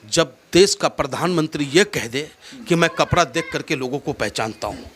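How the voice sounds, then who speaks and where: A man's voice: on the high side, lively intonation, moderate pace, a bit bright. A man from India with a North Indian accent, speaking in an impassioned, oratorical way as a political interviewee, emphatic and repeating phrases for effect.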